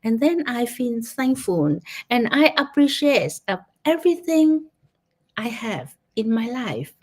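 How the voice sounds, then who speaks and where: Woman from Vietnam, Vietnamese accent, meditation guide; slow, gentle, halting delivery, slightly high voice. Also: sing-song. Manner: gentle, halting, with searching restarts. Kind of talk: guided gratitude meditation talk. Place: online session.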